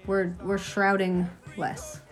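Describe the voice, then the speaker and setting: A woman's voice: mid-range voice, monotone, faint music behind. Easygoing woman musician from Massachusetts. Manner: easygoing, agreeable, quick asides. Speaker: musician guest. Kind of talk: casual interview chat.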